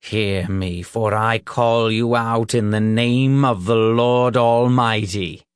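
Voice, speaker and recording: A man voicing a stern, fanatical older male preacher in an audiobook, declaiming in drawn-out sermon phrases. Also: medium pitch, a little mellow, no echo, clean studio sound, natural voice. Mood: stern commanding.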